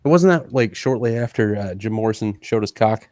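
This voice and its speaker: A man co-hosting a crude casual chat show, loud and jokey, swearing freely. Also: lowish voice, a little fast, dry recording, studio quality. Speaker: podcast co-host.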